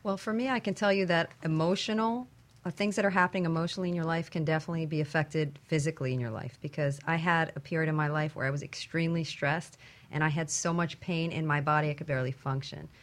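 A woman's voice: sits low, fairly even intonation, fast talker. A woman speaking as a panelist on a talk show, sharing experience and advice conversationally.

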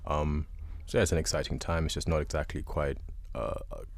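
A young adult man from Uganda, a guest musician answering interview questions in a relaxed, thoughtful way with many pauses. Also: rumbling low, gravelly.

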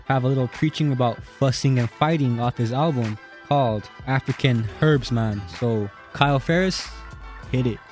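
A man's voice: mid-range voice, moderate pace, darkish tone. A man introduces songs on the radio in a casual way, with faint guitar music playing behind him. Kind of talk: song introductions.

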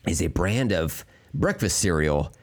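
Hoarse-voiced man, lively jokey chat. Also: deep voice, unhurried. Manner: rambling, jokey, lots of fillers and restarts. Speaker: podcast co-host. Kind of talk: casual chat. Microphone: remote call mic.

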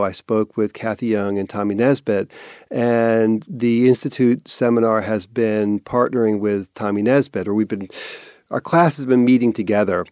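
A man with a fairly low voice speaks in a thoughtful, searching way, restarting phrases as he explains his work. He answers interview questions, and the recording is close and dry with no room sound. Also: darkish tone, clear, resonant tone, no room sound. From Massachusetts. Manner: thoughtful, searching with repeated words and fillers. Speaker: artistic director of an arts institute.

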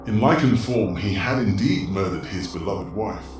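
A man's voice: on the low side, expressive, moderate pace. A man giving a dramatic, scripted narration of a horror story. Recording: echoey, distant, under prominent music. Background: prominent music.

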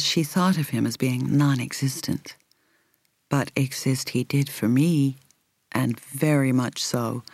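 A woman reading a first-person novel as an audiobook narrator, in a measured, reflective, carefully articulated way. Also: a bass voice, a little mellow, no room sound.

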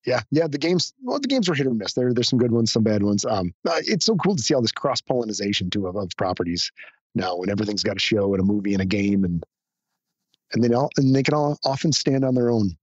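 A hoarse man who is a guest on a podcast, chatting modestly with frequent restarts. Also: mid-range voice, sing-song, fast talker, no echo, highs cut off. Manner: modest, hesitant, many restarts. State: hoarse, recovering from illness. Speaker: podcast guest, hobby photographer. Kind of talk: casual interview chat.

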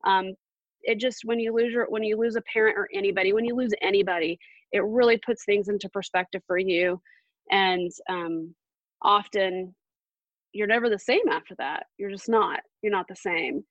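Earnest woman telling her story, halting. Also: medium pitch, dry recording, highs cut off. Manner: earnest, halting, with many fillers. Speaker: podcast guest. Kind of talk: personal story in an interview.

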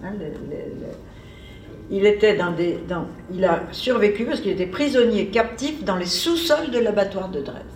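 A woman's voice: medium pitch, lively intonation, normal speed, darkish tone, slight background noise. A woman giving an informal academic seminar talk, digressive and conversational, as if thinking aloud. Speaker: seminar speaker. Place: seminar room. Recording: echoey room, mic far off.

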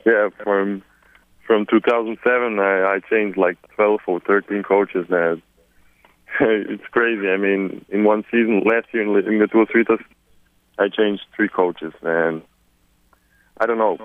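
A Serbian man in his twenties, a newly drafted basketball player, answering press questions in halting, repetitive English. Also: lowish voice, steady tone, no echo. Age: 20s. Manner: halting, many repeated words. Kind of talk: phone press conference answers. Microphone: phone. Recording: tinny phone line.

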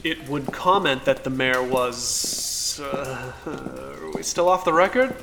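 A skeptical police officer in a cyberpunk radio play, his voice medium in pitch. He talks haltingly and dismissively, getting defensive, over a faintly noisy backdrop. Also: vocal fry. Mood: guarded, dry. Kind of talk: naturalistic audio drama acting.

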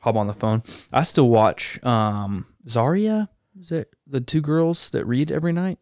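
A man's voice: mid-range voice, a little slow, darkish tone, dry recording, clean studio sound. A man co-hosting a podcast in a laid-back casual way, sniffling after having just cried. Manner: laid-back, says dude and man a lot. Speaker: podcast co-host. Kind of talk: casual chat.

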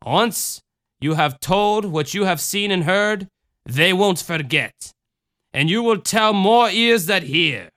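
A man voicing a gentle, solemn motherly woman who comforts a child, speaking slowly and deliberately. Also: sits high, voice pushed up, heavily acted. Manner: slow, calm, deliberate speech.

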